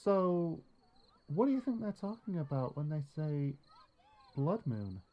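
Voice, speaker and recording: A man's voice: on the high side, sing-song, measured pace, lo-fi sound. A man playing a teasing, level-headed young male pony in an audio story. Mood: curious.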